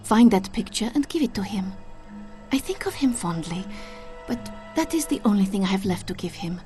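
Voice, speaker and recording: A woman's voice: mid-range voice, animated, moderate pace, dark tone, faint music behind, lightly acted. A woman playing an aloof, wistful former lover in a video game, formal and stilted. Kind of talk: video game cutscene acting.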